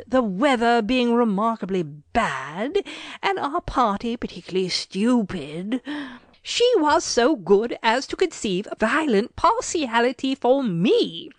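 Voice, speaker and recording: Animated, higher-pitched woman reading dramatically. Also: no echo. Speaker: audiobook reader. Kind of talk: dramatic reading of a period literary letter.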